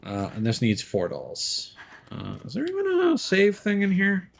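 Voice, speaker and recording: Creaky-voiced man streaming a video game. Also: high voice, huge pitch swings, a little slow, slight background noise. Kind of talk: casual video game live-stream commentary.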